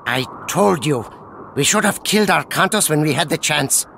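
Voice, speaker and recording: A man's voice: on the high side, animated, moderate pace, gravelly, pushed and bright, slight background noise, full character voice. An actor playing a peevish, anxious villain's henchman in a video game cutscene. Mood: agitated, complaining.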